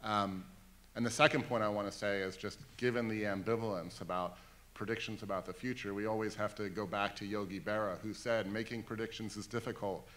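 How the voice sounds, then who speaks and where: Low-voiced man on a panel. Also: steady tone, brisk, a bit bright. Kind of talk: panel discussion.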